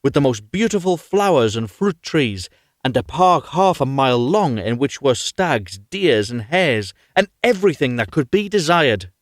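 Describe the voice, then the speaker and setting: A man reading a fairy tale aloud live as an expressive storyteller. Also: mid-range voice, moderate pace, no echo, clean studio sound. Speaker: storyteller, reader.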